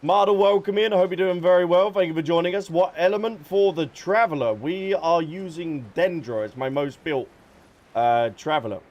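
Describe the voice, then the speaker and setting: Higher-pitched man chatting on a game livestream. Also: normal speed, a little mellow, highs cut off. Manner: chatty, addresses viewers by name. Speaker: live streamer. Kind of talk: casual game livestream chat. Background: game music.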